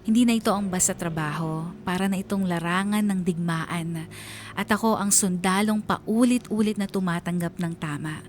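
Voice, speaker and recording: A woman's voice: on the low side, moderate pace, a little hiss or hum, natural voice. A woman playing a weary, anguished letter writer, narrating a scripted radio drama in a confessional, sorrowful manner. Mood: weary, sombre.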